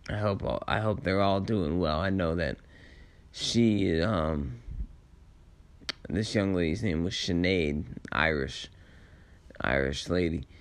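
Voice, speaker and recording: Slow, raspy man telling personal stories. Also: low voice. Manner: halting, reflective, laid-back slangy phrasing. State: tired late in the day. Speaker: storyteller sharing personal memories. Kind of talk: casual rambling personal storytelling.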